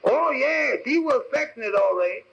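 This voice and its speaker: Really high husky cab driver, simple and folksy, old muffled 1940s radio comedy. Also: male voice, lively intonation, normal speed, fairly bright tone, full character voice. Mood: earnest, matter-of-fact. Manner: folksy, literal-minded, ungrammatical. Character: simple, earnest cab driver, former dairyman from Georgia.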